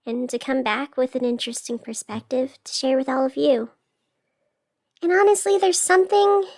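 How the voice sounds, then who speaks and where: A woman playing a gentle, sincere night radio host in an audio drama, speaking in an earnest, encouraging, reflective way. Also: a little high, creaky.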